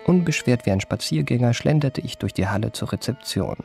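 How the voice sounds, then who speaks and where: An actor narrating a German radio play as an elegant, self-regarding impostor telling his memoirs in a measured, refined way. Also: medium pitch, lively intonation, creaky, a little mellow. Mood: composed, urbane. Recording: radio play with music underneath.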